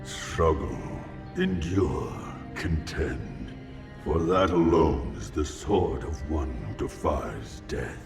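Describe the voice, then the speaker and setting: A man playing an ominous, solemn dark warrior who foretells doom in portentous fantasy style. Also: rumbling low, big pitch swings, takes it slowly, gravelly, full character voice. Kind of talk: slow portentous fantasy acting. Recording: distant, echoing, music behind.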